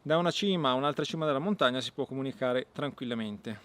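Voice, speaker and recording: A man hosting an outdoor gear review, explaining casually to viewers with halting pauses and repeated words. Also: medium pitch, fairly bright tone. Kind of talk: casual product demonstration to viewers.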